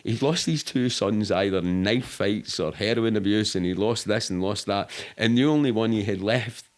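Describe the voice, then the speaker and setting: A man's voice: lowish voice, moderate pace. A middle-aged Scottish man telling stories casually as an interview guest, rambling and sweary in a matter-of-fact way. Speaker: podcast guest.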